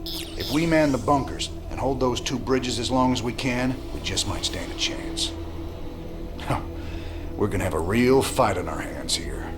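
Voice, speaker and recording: A man's voice: medium pitch, unhurried, over music, close to an everyday voice. An actor playing a weary, tough male rebel commander in a game cutscene, talking in a laconic, dry drawl. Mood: grim. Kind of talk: video game cutscene acting.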